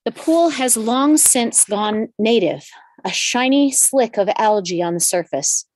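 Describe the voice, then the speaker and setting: A woman with a medium-pitched voice narrates stage directions from a screenplay. The sound is very dry, and the style is that of a live scripted read. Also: darkish tone, no room sound.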